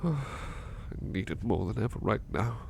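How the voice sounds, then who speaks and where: A man playing a devoted, anxious butler with a haunted past in an intimate ASMR roleplay, speaking softly and haltingly with stammers. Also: lowish voice, gravelly, a little hiss or hum. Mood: vulnerable, fearful. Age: middle-aged or younger adult. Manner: hesitant, stammering, soft-spoken, drifting into whispers.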